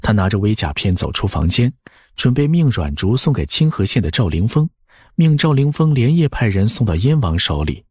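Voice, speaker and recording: Male audiobook narrator, very raspy low register, lively intonation. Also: moderate pace, no echo, studio quality. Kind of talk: scripted novel narration.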